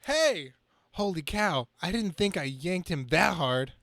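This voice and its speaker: Guy reading as young comic book superhero, quippy but kinda whiny about his bad luck, highish voice. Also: expressive, measured pace, gravelly, a bit bright, no echo, clean studio sound, full character voice. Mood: startled. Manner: quippy, self-pitying, dramatic reading from a page.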